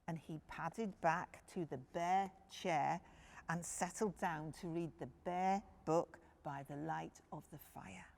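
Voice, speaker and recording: A woman's voice: lowish voice, slow, creaky, darkish tone. A woman reading a picture book to young children, phrasing things simply and speaking to her listeners directly. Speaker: children's storyteller.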